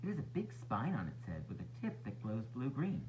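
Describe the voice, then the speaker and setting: A man narrating a children's storybook as a teacher would, expressive and instructive for young listeners. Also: medium pitch, brisk, highs cut off, background noise. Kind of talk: children's read-aloud narration.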